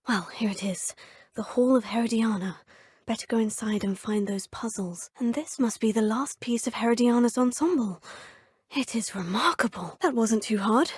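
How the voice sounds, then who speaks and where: A woman's voice: a little high, a little mellow, husky, dry recording, studio quality. A polite young witch student. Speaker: player character. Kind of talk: video game voice clips. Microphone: close studio mic.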